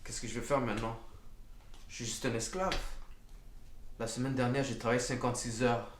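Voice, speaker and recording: A man's voice: mid-range voice, steady tone, fairly quick, roomy sound, slight background noise, natural voice. A man playing a bitter, indignant immigrant chemist stuck working as a grocery security guard, in an amateur short film. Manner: indignant, exasperated.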